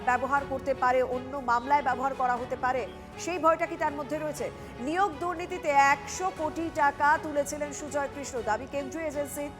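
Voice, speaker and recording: A woman anchors live breaking news in West Bengal Bengali. Her voice is high and she talks quickly, stopping and repeating for emphasis. A prominent music bed runs behind her. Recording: music bed under studio voice.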